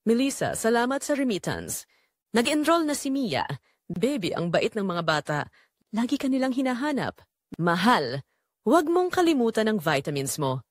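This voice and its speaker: A woman reads as a loving, faithful Filipino husband in a radio-style drama. He speaks tenderly and earnestly, with lively intonation and a dry, close sound. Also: fairly high pitch, normal speed, dry recording. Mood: affectionate. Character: kind, loyal, loving Filipino husband writing home messages.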